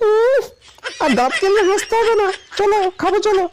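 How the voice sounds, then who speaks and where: A woman voicing a sharp-tongued, bossy village wife in a comic cartoon, snappy and nagging. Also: very high-pitched, moderate pace, slight background noise. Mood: irritated. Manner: snappy, nagging, repeats commands. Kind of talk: comic Bengali cartoon dialogue. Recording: music clearly behind the voice.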